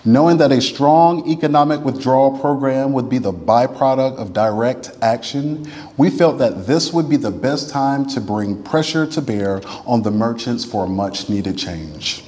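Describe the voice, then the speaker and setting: A man reading a written text aloud in a formal, oratorical style, with measured, deliberate and emphatic phrasing. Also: mid-range voice, darkish tone, slight background noise. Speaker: reader at a church event. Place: large church interior. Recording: reverberant large hall.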